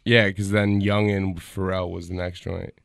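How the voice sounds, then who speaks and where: Male podcast co-host, 30s, low voice, laid-back, hums beats, close dry studio mic. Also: flat delivery, measured pace, gravelly, no echo. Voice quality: low-pitched voice. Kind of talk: casual chat. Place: small radio studio. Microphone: studio mic on arm, headphones.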